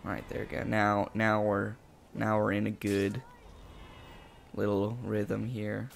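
A man casually commenting as he plays a video game, chatty and talking himself through each move, his voice gravelly after just waking up. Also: lowish voice, flat delivery, slow, slight background noise. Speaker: gameplay commentator. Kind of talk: casual let's-play commentary. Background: game music and sound effects.

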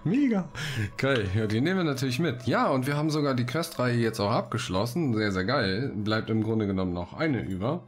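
A German man hosting a let's play, casually commenting on the game in a relaxed, chatty way with enthusiastic slang. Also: medium pitch, fairly quick.

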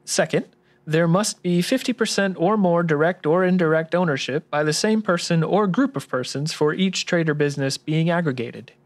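A man's voice: fairly high pitch, moderate pace, no room sound, close to an everyday voice. A man playing a helpful, deferential tax expert in an old-time radio drama, explaining things earnestly. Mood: earnest, explanatory. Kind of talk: 1940s radio drama acting.

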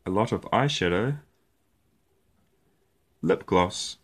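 A man with a fairly low voice reads a storybook to little kids. He takes his time over each short phrase, and his pitch moves around in a lively, playful way. The delivery is that of an animated storyteller. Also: takes it slowly, breathy edge. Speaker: storyteller reading children's picture books. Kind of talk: animated read-aloud storytelling for young children.

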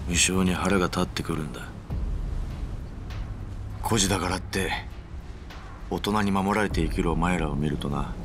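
An actor playing a cold, bitter villain in a video game drama, speaking with deliberate, contemptuous menace. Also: male voice, low-pitched, noisy background.

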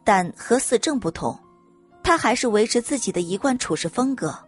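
A woman's voice: a little high, expressive, moderate pace. A woman reading scripted audiobook narration as the storyteller. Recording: music under the narration.

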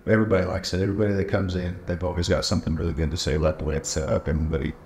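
Low-voiced thirties gym owner from Texas, halting casual answers, roomy gym sound. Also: male voice, flat delivery, gravelly, a little mellow, a little hiss or hum. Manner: plain-spoken, halting with many uh fillers. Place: large indoor gym. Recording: echoey gym room.

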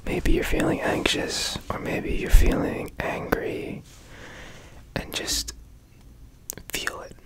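A man guiding a meditation in ASMR style, in a soft breathy whisper with gentle, soothing instruction, close to the microphone. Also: takes it slowly, pauses often, low-quality recording. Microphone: close studio microphone.

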